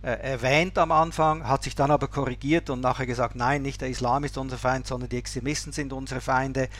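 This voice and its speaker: A man giving measured, explanatory political commentary as an interview guest. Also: medium pitch, steady tone, a bit bright.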